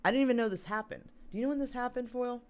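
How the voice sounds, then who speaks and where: Brief: woman co-host, hoarse from a long talk, casual and rambling, fillers and throat clearing. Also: a little high, racing. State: hoarse, losing voice. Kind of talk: casual chat, reading news aloud.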